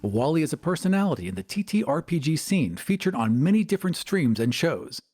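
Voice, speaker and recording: A man's voice: on the high side, very animated, fairly quick, darkish tone, soft background music. A man co-hosting a podcast, introducing the show and chatting casually. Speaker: podcast co-host.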